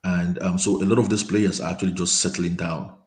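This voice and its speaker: A man with a very low voice talks football in a relaxed chat. His speech halts often, full of repeated words and ums. He comes through a quiet microphone on an online call. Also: fairly quick. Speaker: panel guest. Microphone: remote call mic, quiet.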